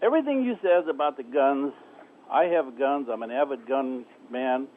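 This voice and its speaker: A man of 69 calling a radio phone-in, argumentative and insistent, haltingly repeating himself. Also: medium pitch, over a noisy crowd. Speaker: radio call-in caller. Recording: tinny phone line on radio.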